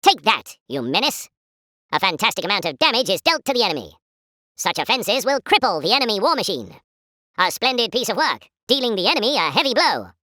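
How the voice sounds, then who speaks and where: A woman's voice: mid-range voice, sing-song, a little fast, pushed and bright, no room sound, clean studio sound. A woman playing a pompous, chipper, patriotic British newsreel announcer in 1940s style, speaking formal theatrical commentary for a game. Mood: triumphant.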